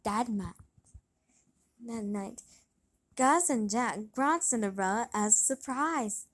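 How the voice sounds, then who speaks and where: Slow, high-voiced woman reading a children's story. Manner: halting, stumbles and repeats words. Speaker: storybook reader. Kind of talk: children's read-along narration.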